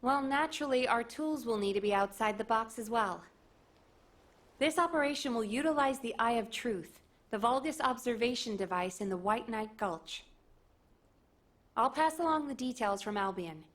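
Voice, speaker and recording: Higher-voiced, determined woman strategist. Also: animated, moderate pace. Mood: assertive. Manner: assertive, crisp military briefing. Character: confident, determined woman military operations strategist. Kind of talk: video game voice acting.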